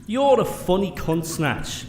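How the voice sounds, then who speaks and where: A bullying British guard captain acted by a man from a comic fantasy audio drama. He is blunt and crude in a high-pitched voice, with an echoey room sound around him. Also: sing-song, takes it slowly, a little mellow, heavily acted. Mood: incredulous, crude. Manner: gruff, blunt, insulting. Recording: echoey room with faint background noise.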